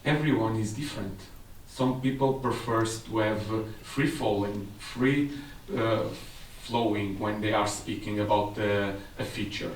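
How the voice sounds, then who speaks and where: A man's voice: fairly low pitch, a little slow, a little hiss or hum. A man in his thirties with a Portuguese accent, giving a technical presentation at a seminar in a room with a large audience. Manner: explanatory, slightly non-native phrasing. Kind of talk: conference presentation. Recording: echoey room from far off.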